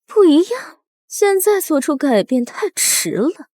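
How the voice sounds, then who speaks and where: A villainous eldest princess, high-voiced and very expressive. She is haughty, scornful and calculating, recorded dry and close for an animated drama. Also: unhurried, no room sound. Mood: cold scheming. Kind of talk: animated short-drama dubbing.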